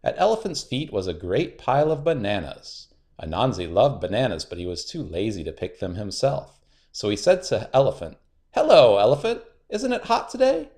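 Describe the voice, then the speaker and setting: Animated man reading a children's story. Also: fairly low pitch, normal speed. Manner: expressive, dramatic repetition and emphasis. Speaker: storybook narrator. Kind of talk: children's read-aloud narration.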